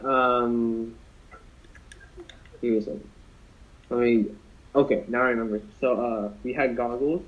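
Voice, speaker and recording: A man's voice: medium pitch, steady tone, unhurried, long pauses. Young man rambling through a story. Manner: rambling, many fillers and restarts. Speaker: podcast co-host. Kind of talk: casual storytelling among friends. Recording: dull lo-fi podcast audio.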